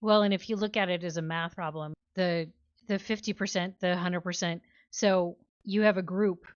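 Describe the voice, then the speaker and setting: A woman's voice: lowish voice, steady tone, moderate pace, clean tone, dry recording, muffled recording. A woman co-hosting a book club podcast, talking casually in an analytical, questioning way.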